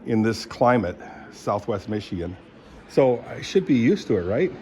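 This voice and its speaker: Rambling older man clearing his throat. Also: lowish voice, very animated, a little mellow, slight background noise. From Indonesia. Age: 70s. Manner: rambling, halting, many fillers and throat clearing. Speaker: vlogger. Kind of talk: rambling casual monologue.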